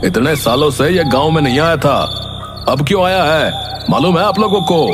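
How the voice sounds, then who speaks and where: A man's voice: a little high, normal speed, close to an everyday voice. A man voicing a stern village police inspector, explaining in a brusque, accusing way in a cartoon. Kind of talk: cartoon voice acting. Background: music.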